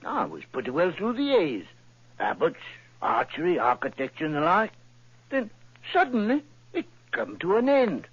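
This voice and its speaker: An earnest, homespun middle-aged shopkeeper in a vintage radio play. His voice is husky and breathy, and it swings up and down as he tells his tale in plain, rustic speech. The sound is dull and dry, like an old broadcast. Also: high voice, big pitch swings, moderate pace, a bit bright, no echo, full character voice. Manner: homely, earnest, rustic grammar. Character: plain-spoken middle-aged male pawnbroker client, earnest. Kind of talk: 1940s radio acting.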